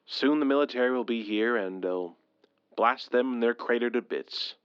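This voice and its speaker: An actor playing a shaken husband in an audio drama, stubbornly insisting in halting phrases that all is fine. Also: male voice, mid-range voice, dry recording, dull audio, lightly acted. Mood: anxious, insistent. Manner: halting, breathy sighs, insistent. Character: shaken but stubbornly dismissive husband, alien invasion survivor. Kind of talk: modern audio drama acting.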